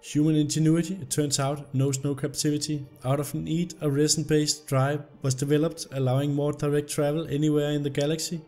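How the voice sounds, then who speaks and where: Slightly hoarse man commenting on gameplay. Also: on the high side, brisk, few pauses, soft background music. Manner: reads the story text aloud in an even narrating tone. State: hoarse from illness. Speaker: gameplay video host.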